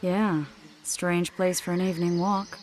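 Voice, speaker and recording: An actress playing a knowing, forthright mage and healer in a video game, explaining things in a measured way. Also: female voice, fairly low pitch, flat delivery, a little mellow, slight background noise, natural voice. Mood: concerned, measured.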